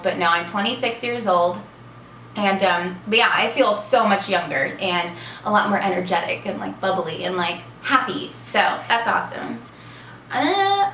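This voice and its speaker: A woman's voice: medium pitch, a bit bright. A woman in her twenties chatting casually in a vlog, bubbly and full of fillers. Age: 20s. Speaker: vlogger. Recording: echoey room with faint hiss.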